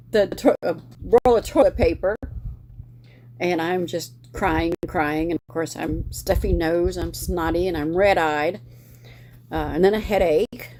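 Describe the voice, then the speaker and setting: A middle-aged woman hosts a casual book club chat in a fairly low voice. She rambles a little, restarting sentences and filling pauses with "uh" and "um". A faint hiss of noise sits under the recording. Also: darkish tone. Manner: rambling, many fillers and restarts.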